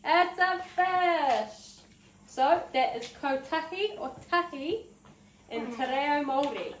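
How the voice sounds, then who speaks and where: Preschool teacher, female, New Zealand accent, unhurried animated delivery for little kids, recorded at a distance from the mic. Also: high-pitched. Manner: animated, encouraging, sing-song teacher talk with drawn-out words. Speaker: preschool teacher and story time host. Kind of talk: interactive children's story time lesson. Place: preschool room, indoors. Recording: roomy, distant mic, background noise.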